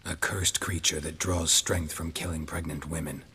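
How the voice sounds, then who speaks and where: An actor playing a stoic, cynical monster hunter in a video game, speaking in a curt, cold deadpan with a gravelly, husky voice. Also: male voice, very deep voice, normal speed, a little hiss or hum. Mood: grim, matter-of-fact. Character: stoic, cynical monster-hunting witcher, hardened man. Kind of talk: understated video game acting.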